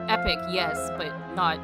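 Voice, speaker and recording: Female game streamer, low-set voice, playful unhurried reactions and small exclamations, loud music under her. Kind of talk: casual live commentary reacting to a game. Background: video game music and dialogue.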